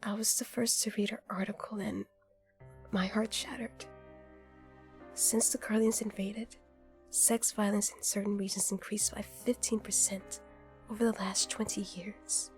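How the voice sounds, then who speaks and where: A woman's voice: medium pitch, flat delivery, a little slow, lightly acted. Woman playing blunt, intense heroine over music. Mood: grave, earnest. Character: blunt, intense, wounded heroine, protective of victims. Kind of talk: fan audio drama acting, dramatic monologue.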